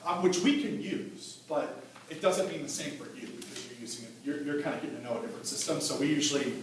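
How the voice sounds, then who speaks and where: A man's voice: a little high, fast, slight background noise, rough recording. A man explaining assessment data to a school board in a conversational way, often repeating words while he thinks aloud. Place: board meeting room. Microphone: table microphone.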